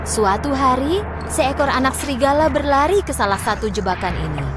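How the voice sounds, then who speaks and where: A woman narrating a scripted children's story in an expressive, clearly phrased way. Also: high-pitched, normal speed, over music.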